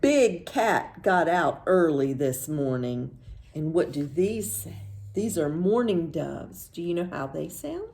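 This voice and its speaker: An American woman leads a storytime for young children. Her pitch moves widely in a singsong read-aloud style, and she takes her time. She poses simple questions, leaves room for an answer, and then affirms it. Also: lively intonation, takes it slowly, a little mellow. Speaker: children's librarian, storytime host.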